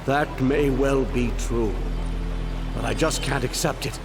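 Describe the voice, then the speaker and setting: A man acting a somber, embittered townsman bound to his ancestral land, speaking gravely and earnestly in a video game drama. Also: mid-range voice, fairly even intonation, unhurried. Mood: bitter, protesting. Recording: music behind the voice.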